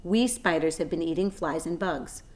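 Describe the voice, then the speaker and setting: A woman's voice: fairly low pitch, normal speed, lightly acted. A woman voicing a clever, kindly female spider, articulate and matter-of-fact, with a pleasant thin voice.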